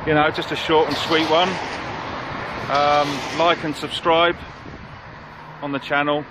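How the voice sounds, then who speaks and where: Male vlogger, thirties, casual delivery, recorded on handheld camera outdoors with clear street noise. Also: on the high side, flat delivery, a little slow. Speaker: vlog host. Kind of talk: casual vlog chat. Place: outdoors by a roadside pub. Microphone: handheld camera at arm's length.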